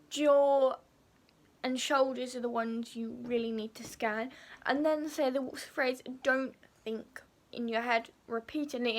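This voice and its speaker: A woman chatting casually in a vlog, her voice croaky from a sore throat, rambling and repeating herself. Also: high-pitched, a bit bright. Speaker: vlogger.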